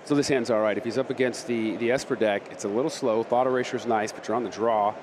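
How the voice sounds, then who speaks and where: A man giving analytic color commentary on card game decks, in a quick, explanatory way. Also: mid-range voice, fairly even intonation, background noise. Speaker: esports analyst.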